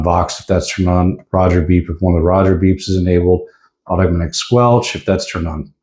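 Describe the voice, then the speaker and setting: A man's voice: sits low, mellow. An American man giving a casual, informal product review, explaining features a little hesitantly. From United States. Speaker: radio reviewer. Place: indoors at a desk. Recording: roomy, echoing indoor sound.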